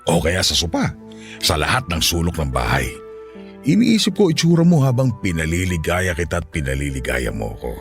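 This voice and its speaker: A man's voice: sits low, huge pitch swings, moderate pace, faint music behind, full character voice. Man acting a lustful Filipino widower, wildly swooping delivery. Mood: lustful, eager. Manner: suggestive, coaxing, chuckling seducer. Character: lustful middle-aged Filipino widower, coaxing, boastful, smitten. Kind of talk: Tagalog radio drama acting.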